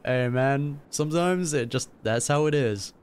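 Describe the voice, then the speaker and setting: A man's voice: medium pitch, a little slow, clean tone. A man hosting a gaming reaction, commenting casually in a laid-back, slangy way. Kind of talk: casual game playthrough commentary. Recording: game music behind the voice.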